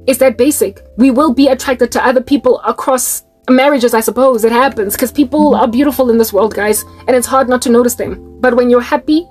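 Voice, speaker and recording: A woman's voice: a little high, darkish tone, slightly rough. A South African woman in her late thirties, preaching to her viewers in an exhorting, rhetorical monologue. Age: 30s.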